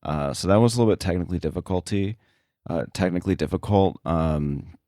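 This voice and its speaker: A man speaking casually as an interview guest about his work, thinking aloud in a halting way. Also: low voice, mellow, dry recording, studio quality. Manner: halting, thinking aloud, frequent filler likes. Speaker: sound editor, interview guest.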